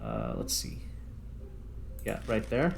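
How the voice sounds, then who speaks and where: A man with a fairly low voice talks casually through a game as host, thinking out loud in loose, unfinished phrases. A clear layer of game noise runs behind him. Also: wildly swooping pitch, slow pace. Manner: loose, unscripted, trails off mid-thought. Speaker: host, gamer playing a space flight simulator. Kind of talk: casual gameplay commentary, thinking aloud.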